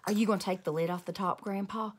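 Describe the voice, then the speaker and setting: A woman voicing a stubborn, excitable little girl from a storybook, talking emphatically in childish grammar. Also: mid-range voice, fast talker, breathy edge, lightly acted. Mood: excited, eager.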